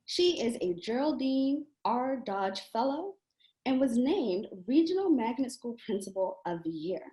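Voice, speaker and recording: A woman's voice: a little high, dark tone, reverberant, low-quality recording. A woman hosting an online reading series interview, upbeat and welcoming as she introduces a guest. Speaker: club president and host.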